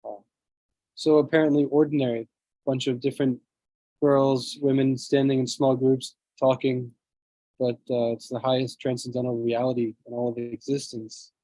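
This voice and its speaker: A man leading a scripture class over a video call, reading translations aloud and often clearing his throat, with a low voice. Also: little pitch movement, moderate pace, mellow, no room sound, poor audio. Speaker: class speaker. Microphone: computer mic on a video call.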